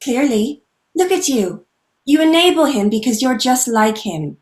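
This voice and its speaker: A woman's voice: high-pitched, expressive, measured pace, echoey room. A woman playing a defiant captive, confrontational and emphatic, in a screenplay table read. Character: defiant, outspoken captive woman standing up to gunmen.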